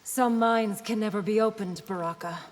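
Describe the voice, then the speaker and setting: A woman's voice: mid-range voice, natural voice. Woman as a composed princess in echoing hall. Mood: patient, firm. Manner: measured, formal. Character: composed, dutiful royal princess, firm and diplomatic. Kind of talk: video game character dialogue. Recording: long reverb, clear background noise.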